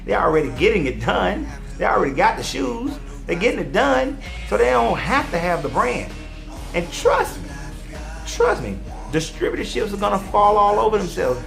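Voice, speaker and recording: A man in his forties delivers a preachy motivational talk, addressing viewers directly. His voice sits a little high and swings widely in pitch as he drives each point home. Soft music plays faintly underneath. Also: wildly swooping pitch, moderate pace, creaky. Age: 40s. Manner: preachy and emphatic, talks straight to viewers. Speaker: online commentator and life coach. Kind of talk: motivational monologue.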